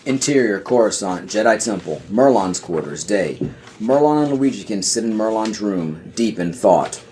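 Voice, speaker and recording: A man's voice: lowish voice, lively intonation, moderate pace, darkish tone, slight background noise. A man reading scripted scene directions as the narrator of an audio play.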